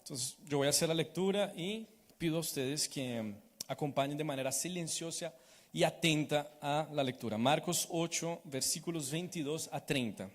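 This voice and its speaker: Male church interpreter and reader, higher pitch, echoey hall sound. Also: moderate pace. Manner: renders each phrase right after the preacher. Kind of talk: live sermon interpretation and Bible reading.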